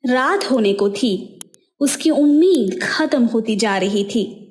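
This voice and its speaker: A woman's voice: fairly high pitch, animated, slow, darkish tone. A woman narrating a scripted cartoon moral story as its storyteller.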